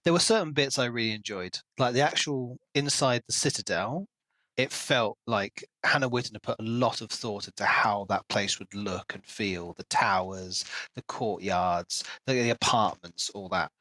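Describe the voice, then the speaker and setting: A man's voice: on the low side, normal speed, pushed and bright, dry recording, low-quality recording. Man chatting on a book podcast, dry sound. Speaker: podcast host. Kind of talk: casual book review discussion.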